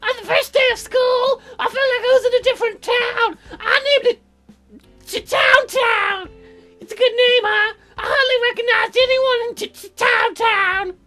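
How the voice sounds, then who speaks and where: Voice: extremely high pitch, steady tone, normal speed, bright, forceful tone, faint music behind, pitched above the performer's own voice. A performer voicing a naive, ditzy teenage girl in a dating sim game, with exaggerated gushing wonder. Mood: excited.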